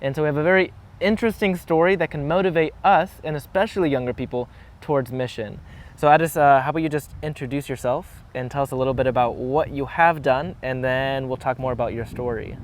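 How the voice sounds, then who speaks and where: A man's voice: medium pitch, fast. A man hosting an interview in a friendly, informal presenting style. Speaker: interviewer, segment host.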